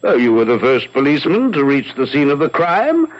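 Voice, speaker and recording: Gentleman sleuth male actor: urbane, superior, reclusive, very lively intonation. Old radio drama, muffled top end. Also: medium pitch, a little fast, lightly acted. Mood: amused, superior. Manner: suave, patronizing, theatrical. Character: urbane, brilliant, reclusive French gentleman detective. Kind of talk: 1970s radio drama acting. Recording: dull 1970s radio recording.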